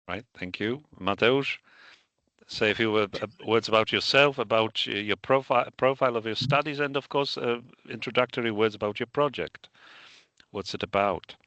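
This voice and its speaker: A man hosting an interview, speaking in halting, formal phrases. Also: lowish voice, pushed and bright, dry recording. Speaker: host.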